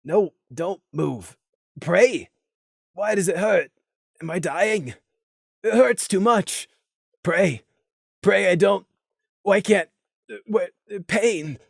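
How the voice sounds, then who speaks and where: A woman voicing a badly wounded male pony who murmurs faintly in halting, breathless fragments. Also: mid-range voice, gravelly, slightly rough, no room sound, studio quality, full character voice. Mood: faint pained. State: weak, struggling to breathe.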